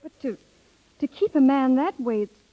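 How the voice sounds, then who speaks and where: An earnest high-voiced young wife, old radio. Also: female voice, lively intonation. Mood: troubled. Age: young adult. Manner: earnest, emotional, halting. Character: earnest, anxious young wife, proud and honest. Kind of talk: 1930s radio drama acting. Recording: dull vintage 1930s radio recording.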